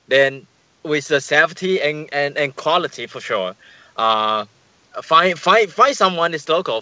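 A man answering interview questions over a call, halting and searching for words, often repeating himself. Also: on the high side, bright, forceful tone, dry recording, highs cut off. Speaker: guest, tour business manager.

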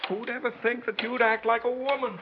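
A man's voice: very high-pitched, steady tone, a little fast, slight background noise. An actor playing a good-old-boy golfing associate in a 1960s radio drama. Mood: rebuffed. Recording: dull, distant 1960s radio recording.

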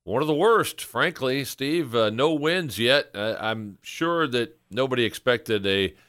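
A man's voice: mid-range voice, animated, unhurried, fairly bright tone, no echo, studio quality. A man hosting a sports podcast, speaking in an interview style.

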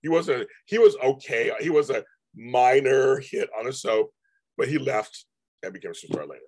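A man talks casually to his live-stream viewers, his voice hoarse and husky. He rambles, repeats himself and restarts his sentences often. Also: medium pitch, animated, normal speed, a little mellow, rough recording. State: hoarse, voice going out. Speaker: livestream host.

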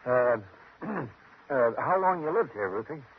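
An actor playing a guarded, secretly murderous tough guy in a 1950s radio drama, talking in a terse, halting, evasive way. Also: medium pitch, sing-song, gravelly. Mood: uneasy. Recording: muffled, noisy old radio recording.

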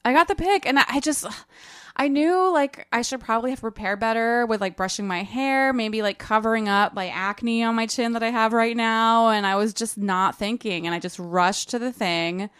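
A woman's voice: a little high, normal speed, a bit bright, clear, resonant tone, no echo, clean studio sound. A woman co-hosting a book podcast, talking casually and informally with plenty of friendly banter. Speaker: co-host of a book discussion podcast. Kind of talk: casual, conversational book recap.

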